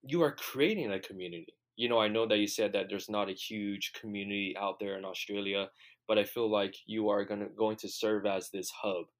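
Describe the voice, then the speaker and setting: Male host, slightly low voice, enthusiastic and encouraging, long wandering questions. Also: steady tone, a little fast. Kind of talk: casual interview conversation.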